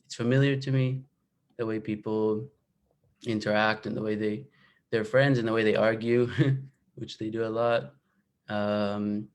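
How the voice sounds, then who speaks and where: Soft-spoken young Israeli man, flat. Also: on the low side. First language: Hebrew. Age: 20s. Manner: hesitant, many fillers and restarts. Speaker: guest author. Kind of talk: casual talk about his book. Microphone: video call.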